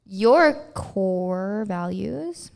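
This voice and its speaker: A woman's voice: mid-range voice, lively intonation, extremely slow, vocal fry, a little mellow. Young woman on a chill podcast, laughs a lot, just chatting and reading stuff out. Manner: giggly, chatty, laughs often. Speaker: podcast co-host. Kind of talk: casual chat, reading test results aloud.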